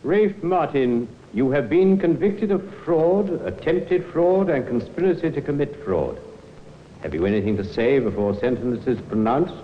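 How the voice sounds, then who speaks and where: An actor playing a stern, lofty judge passing sentence formally in an old time radio drama. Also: male voice, mid-range voice, lively intonation, natural voice. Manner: formal, measured, severe. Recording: muffled old radio recording with hiss.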